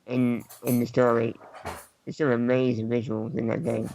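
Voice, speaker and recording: A man on a gaming podcast panel, talking casually in short, halting pieces. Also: medium pitch, little pitch movement, darkish tone, a little hiss or hum, poor audio.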